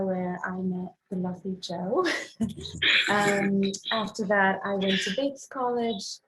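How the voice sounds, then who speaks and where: A woman's voice: mid-range voice, low-quality recording. A young woman from Morocco, a podcast guest, answering thoughtfully and measuredly with frequent ums. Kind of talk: casual interview talk.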